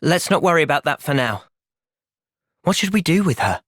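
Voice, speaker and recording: A man voicing an earnest, thoughtful young soldier in a video game, with an English accent and a measured, sincere delivery. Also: a little high, sing-song, fairly bright tone, no room sound, clean studio sound. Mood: calm, earnest. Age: young adult. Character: earnest, thoughtful young male soldier, gentle but resolute. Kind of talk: video game cutscene acting.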